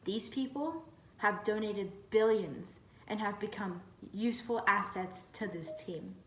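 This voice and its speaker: A woman with a mid-pitched voice performs spoken word poetry. Her delivery is rhythmic and measured, and the ends of her phrases trail off. She is recorded at a distance from the microphone in a reverberant room. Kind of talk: slam poetry recitation of a prepared piece. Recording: roomy, distant mic with faint noise.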